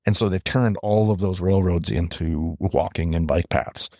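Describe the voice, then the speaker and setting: A man from interior British Columbia guesting on a podcast, telling animated stories and acting out what people said. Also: low voice, normal speed, dry recording, clean studio sound. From interior British Columbia, Canada. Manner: animated storyteller, acts out quoted talk.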